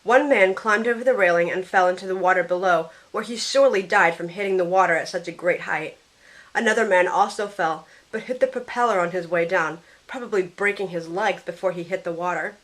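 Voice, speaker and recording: A woman's voice: fairly low pitch, fairly quick, a bit bright, natural voice, poor audio. A woman playing a grieving young female survivor, narrating her story earnestly in an amateur audio drama. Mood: sorrowful. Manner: earnest storytelling, tearful in dialogue.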